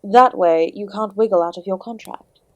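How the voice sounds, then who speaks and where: A woman's voice: mid-range voice, normal speed, darkish tone, no room sound, lightly acted. A woman playing the Devil in an audio roleplay as a charming, sardonic, ancient hostess who speaks to the listener with genteel, theatrical courtesy. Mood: amused, proud. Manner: genteel, teasing, theatrical, calling the listener "my dear". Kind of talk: scripted audio roleplay, addressing the listener directly.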